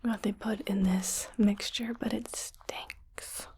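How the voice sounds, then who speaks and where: A woman playing a calm, clinical doctor in an ASMR roleplay on an alien planet, whispering slowly in a breathy, measured way. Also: medium pitch. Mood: curious, focused. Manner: slow, measured, softly clinical.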